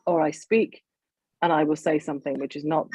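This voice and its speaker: Husky low-voiced woman with an English accent. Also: fairly even intonation, fairly quick, a little mellow, no echo. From Kenya. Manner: thoughtful, candid, laughs often. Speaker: panel speaker. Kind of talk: reflective conversation.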